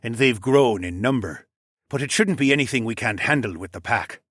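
A man's voice: medium pitch, animated, moderate pace, a bit bright, husky, no room sound. A self-assured male dragon fighter who is ready to help, played by a male narrator. The delivery belongs to a fantasy audiobook, recorded dry and close. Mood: reporting.

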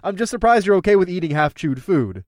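A man's voice: high voice, big pitch swings, fast, darkish tone, dry recording, studio quality, pitched above the performer's own voice. A man voicing an easygoing, teasing dragon-riding captain in an audiobook, in a casual delivery. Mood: bemused. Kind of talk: audiobook character acting.

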